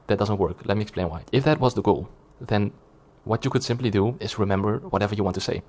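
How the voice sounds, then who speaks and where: Fast-talking man explaining earnestly. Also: lowish voice, a little mellow. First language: Dutch. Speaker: language-learning YouTuber. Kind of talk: scripted video explanation.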